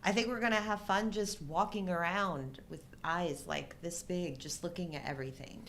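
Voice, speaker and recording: A middle-aged woman chats as co-host of a casual live show. Her voice sits slightly low, and her banter is playful and agreeable. There is some noise and low rumble in the recording. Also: fairly even intonation, moderate pace, fairly bright tone.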